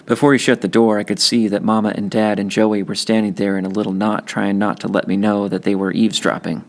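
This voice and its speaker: A man's voice: lowish voice, little pitch movement, brisk, creaky. A man reading a children's novel aloud as scripted audiobook narration. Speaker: audiobook reader.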